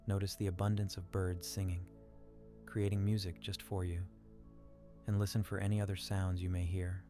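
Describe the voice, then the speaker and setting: A man narrating a guided meditation in a calm, soothing, unhurried manner. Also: deep voice. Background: background music.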